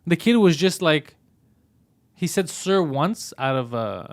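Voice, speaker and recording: A young man with a slightly high voice co-hosts a comedy show. He riffs in an animated, mocking way and laughs easily. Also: slow. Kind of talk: casual comedic chat.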